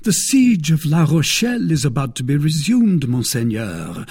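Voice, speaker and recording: A man acts out a young, brave guardsman from a historical novel. He is deferential and formal, choosing his words warily, with highly animated pitch and a husky edge. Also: moderate pace, exaggerated voice. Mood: respectful, earnest. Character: brave, respectful, wary young male guardsman.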